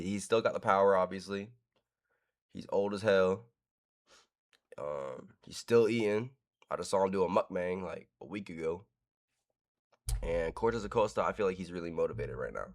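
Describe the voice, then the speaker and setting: A man hosting casual fight predictions, frank and rambling with casual swearing. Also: low voice. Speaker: host.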